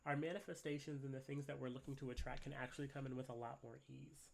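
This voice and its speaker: A man's voice: mid-range voice, flat delivery, fast talker, vocal fry, slight background noise. A man with a congested voice from a cold, giving a casual tarot reading at a desk and rambling with lots of "right?" and fillers. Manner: rambling, filler-heavy, keeps asking "right?". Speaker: tarot reader. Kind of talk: casual spiritual reading to camera. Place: at a desk indoors.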